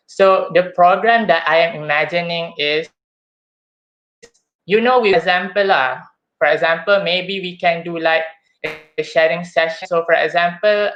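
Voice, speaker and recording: A man's voice: a little high, a bit bright. Young Malaysian man, muffled call audio. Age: young adult. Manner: chatty, hesitant, addresses audience as guys. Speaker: student election candidate. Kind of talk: casual campaign speech.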